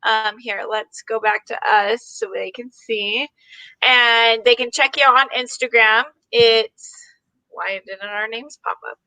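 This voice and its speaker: Bright, dry-sounding American woman hosting. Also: on the high side, steady tone, measured pace, no echo, low-quality recording. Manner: encouraging, brief affirmations. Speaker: host. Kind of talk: casual interview hosting.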